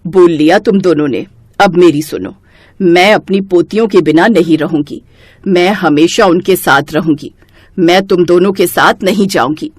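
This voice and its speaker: A woman's voice: fairly low pitch, moderate pace, husky, dry recording, studio quality, voice pushed down. A woman voicing an elderly grandmother, tired but firm and loving, in an earnest storybook manner. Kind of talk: storybook character acting.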